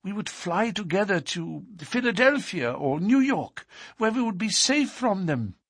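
A man's voice: sing-song, measured pace, fairly bright tone, breathy edge, dry recording, clean studio sound, heavily acted. A man voicing a frightened, devoted young woman who pleads urgently in a raised voice, in an audiobook reading. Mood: pleading. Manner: high, tearful, urgent pleading.

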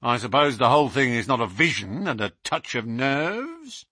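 Man doing a stern, brisk police inspector. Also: medium pitch, big pitch swings, husky, dry recording, clean studio sound, heavily acted. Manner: sharp, clipped questioning.

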